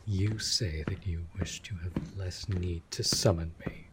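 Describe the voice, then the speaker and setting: A man playing a possessive, proud and devoted demon lover in an intimate audio roleplay, speaking formally and intensely with a breathy, husky voice. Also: low voice, steady tone, very noisy. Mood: commanding, urging. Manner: slow, formal, deliberate, intense.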